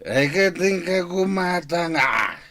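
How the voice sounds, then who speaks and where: A man with a Filipino accent acting a gruff, lazy, demanding husband in a radio drama, with a drawling delivery. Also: high voice, animated, takes it slowly, pushed and bright, exaggerated voice.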